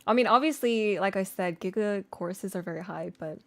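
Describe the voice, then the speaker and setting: A woman with a mid-pitched voice talks casually as she teaches singing technique on a livestream. She is informal and slangy, explaining things step by step and chatting with her viewers along the way. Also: fairly quick. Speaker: streamer and singer teaching vocal layering. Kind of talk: casual livestream tutorial with chat replies.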